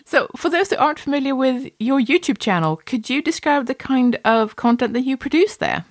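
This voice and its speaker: A woman's voice: high voice, expressive, brisk, no room sound, studio quality. A woman podcast host introducing a segment and asking interview questions in a friendly, encouraging way.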